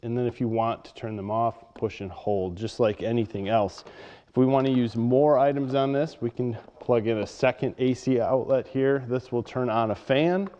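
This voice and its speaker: A man walks through a product demonstration in a plain, matter-of-fact way. His voice is mellow and mid-pitched. The recording sounds muffled and carries a long reverb from the workshop around him. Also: moderate pace. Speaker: product reviewer. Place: workshop with a workbench.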